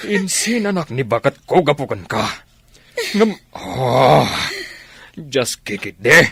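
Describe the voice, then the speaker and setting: An actor with an Ilocano accent playing a hot-tempered, suspicious sugar daddy in a radio drama, drawing out scornful words. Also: male voice, mid-range voice, big pitch swings, takes it slowly, vocal fry, fairly bright tone, slightly rough, dry recording, clean studio sound, full character voice. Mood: angry.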